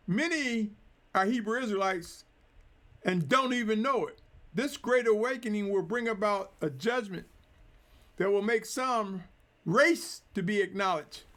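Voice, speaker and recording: A man preaching a sermon in a deliberate, emphatic way, drawing out key phrases. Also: high voice, fairly bright tone.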